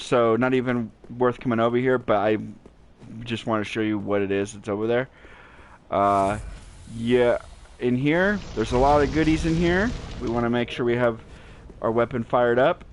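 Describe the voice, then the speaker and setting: A man with a naturally deep voice giving relaxed, rambling gameplay commentary with many fillers, recorded at home on a cheap gaming headset mic. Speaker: gaming channel host. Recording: low-quality headset mic, faint hiss.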